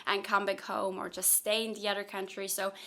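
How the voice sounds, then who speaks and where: A woman's voice: mid-range voice, flat delivery, fairly quick, a bit bright. A young woman from Slovakia, whose first language is Slovak, answers viewer questions in a casual vlog, chatty and thinking aloud with plenty of fillers. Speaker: software engineer and content creator.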